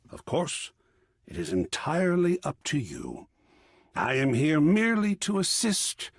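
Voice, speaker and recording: Wildly swooping, effusive art curator. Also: male voice, mid-range voice, vocal fry, husky, dry recording, clean studio sound, exaggerated voice. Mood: earnest, pleased. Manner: effusive, erudite, precisely enunciated, dramatic. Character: excitable, cultured art object come to life, gallery curator. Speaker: video game character. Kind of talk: theatrical game dialogue.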